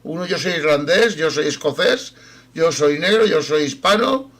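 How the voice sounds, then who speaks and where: A man from Spain argues his point in a video-call debate. He speaks in a firm, declarative, lecturing way. His voice sits slightly higher than most men's. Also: animated, moderate pace, a bit bright. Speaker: debate participant.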